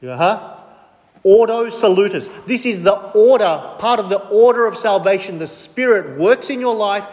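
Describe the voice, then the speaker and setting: A man's voice: sits high, lively intonation, moderate pace, a little mellow, in a large hall. A man preaching a sermon with emphasis, firing questions at the congregation and answering them himself.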